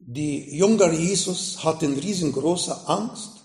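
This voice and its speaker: A man with an Arabic accent preaching a sermon in slow, emphatic phrases that rise sharply in pitch. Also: darkish tone, echoey room. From Middle East. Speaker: pastor.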